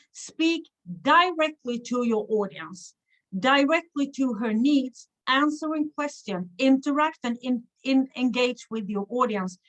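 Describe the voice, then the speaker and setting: Woman, Swedish accent, non-native speaker, slightly high voice, livestream host, encouraging, direct to viewers. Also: low-quality recording. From Sweden. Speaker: online business coach and livestream host. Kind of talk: live stream talk to viewers. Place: hotel room.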